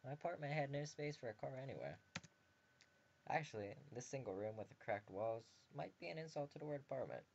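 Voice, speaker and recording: A man's voice: mid-range voice, animated, a little fast, creaky, darkish tone, a little hiss or hum. Man, game voice-over, reads story text aloud, dull lo-fi sound, faint ambience. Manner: reads narration and dialogue in a mostly plain voice, joking asides. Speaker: game video host. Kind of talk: reading a visual novel aloud with commentary. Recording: dull lo-fi home recording with faint background ambience.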